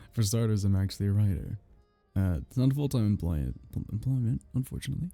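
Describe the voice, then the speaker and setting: A man acting a geeky, awkward male kitsune on a blind date in an ASMR roleplay, halting, stammering and apologetic. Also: fairly low pitch, gravelly, warm tone, no room sound. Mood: nervous, polite.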